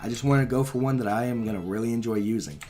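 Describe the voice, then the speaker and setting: Slightly hoarse, foul-mouthed young man. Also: medium pitch, racing, dark tone, faint music behind. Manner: rambling, swears freely. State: slightly hoarse, losing his voice. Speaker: gaming channel host. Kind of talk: casual gameplay commentary. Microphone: close to his mouth.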